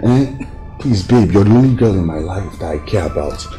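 A man's voice: sits low, animated, moderate pace. A man with a Nigerian accent playing an insistent, domineering cheating husband in a melodrama, repeating his questions and phrases. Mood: pleading, panicked. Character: cheating husband, pleading lover and bullying domineering household boss. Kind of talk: melodramatic screen drama acting. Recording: roomy echo with music behind.

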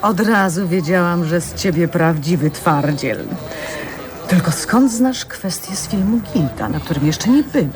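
An actress playing a glamorous, flirtatious 1940s film diva in a radio drama, teasing and coquettish. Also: female voice, lowish voice, lively intonation, unhurried, over music. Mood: coy.

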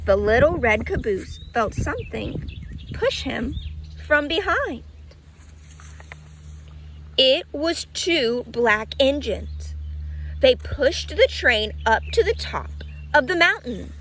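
A woman's voice: sits high, sing-song, a bit bright. A woman reading a children's picture book aloud in a teacherly way, explaining and asking questions. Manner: teacherly, slow and clearly paced, asking questions. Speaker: read-aloud storyteller for children. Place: outdoors on grass. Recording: outdoor ambient noise behind voice.

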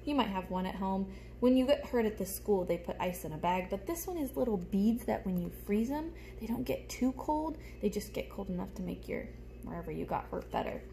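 A young woman speaks like a teacher to little children, in a mid-range voice. She explains each thing in simple, expressive phrases, show-and-tell style. It is recorded on a phone in a room at home, with some light background noise. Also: mid-range voice, brisk. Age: young adult. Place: small room at home, indoors. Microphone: phone held close in front.

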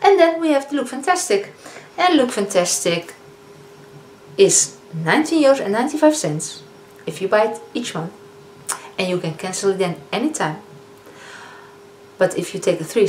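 A woman in her fifties with a Dutch accent, chatting casually as a beauty vlogger reviewing products, often pausing on fillers and correcting herself. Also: mid-range voice. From Netherlands. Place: living room, indoors. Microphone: camera in front of a seated speaker. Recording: echoey room with faint hiss.

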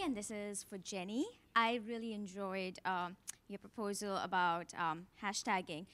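Brief: young woman in the audience, polite question, a bit hesitant, mid pitch. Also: a bit bright. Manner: polite, with some hesitant fillers. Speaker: audience member asking a question. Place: conference room audience, indoors. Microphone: handheld mic.

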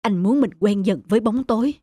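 A man voicing a gentle, despondent cartoon father who blames himself, with music under him. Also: sits high, a little fast, no echo, clean studio sound. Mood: dejected, self-blaming. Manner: heavy-hearted, self-reproaching. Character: despondent, gentle painter father losing his sight. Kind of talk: dubbed cartoon dialogue.

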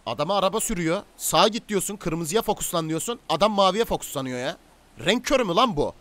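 A man playing a commanding, stern gang boss in improvised game roleplay, domineering and quick to order and threaten. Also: high voice, animated, a bit bright. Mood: angry, exasperated. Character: commanding male crime boss, stern and menacing.

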